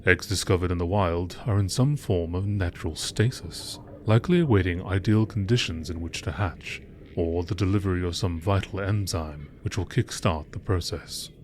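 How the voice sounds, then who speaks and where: A man narrates in a nature documentary style, reading scientific-sounding text from a script. His voice is low, and there is faint noise behind it. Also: moderate pace. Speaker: narrator reading game lore texts.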